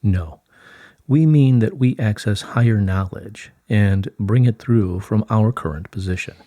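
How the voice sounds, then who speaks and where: A man reading as a serene collective of higher light beings, in a stately, formal, measured manner. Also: dark tone, dry recording, lightly acted. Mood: serene, explanatory. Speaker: reader of channelled messages. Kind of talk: formal, scripted channelled-message reading.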